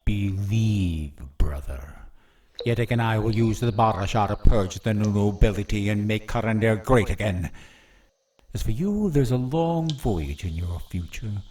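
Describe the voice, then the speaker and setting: A man's voice: on the low side, animated, a little mellow, distant mic, a little hiss or hum. Man acting a gloating, cruel prince, wildly swooping delivery. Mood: mocking. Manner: mocking, theatrically menacing, drawn-out. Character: cruel scheming prince, gloating usurper brother. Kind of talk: fantasy radio play acting.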